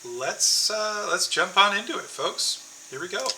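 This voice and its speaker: A man's voice: high voice, expressive, a little slow, sharp tone, slight background noise, rough recording. A man streaming a game, chatting casually to viewers and thinking aloud with many fillers and restarts.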